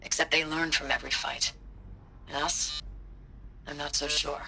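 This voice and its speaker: An actor voicing a weary, grimly reflective soldier recording battle logs in a video game, somber and matter-of-fact. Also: male voice, a little high, level tone, moderate pace, gravelly, background noise. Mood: weary, disillusioned. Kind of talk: dramatic video game monologue.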